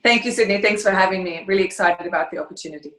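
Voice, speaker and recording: A woman's voice: medium pitch, very fast, a bit bright. A middle-aged woman from Germany with a German accent, answering interview questions in a thoughtful, hesitant way, on a video call from home. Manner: thoughtful, searching for words, frequent hesitations. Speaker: guest, consultancy founder. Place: home room by a fireplace. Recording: echoey room at home.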